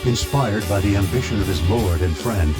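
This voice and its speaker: A man's voice: on the low side, moderate pace. A man reading formal, solemn scripted narration for a historical war video game. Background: loud music with plucked strings.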